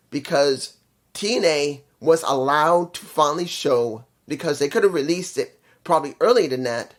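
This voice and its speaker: Congested, nasal man with a slightly high voice, casual rambling wrestling reviewer. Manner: rambling, with restarts and stumbles.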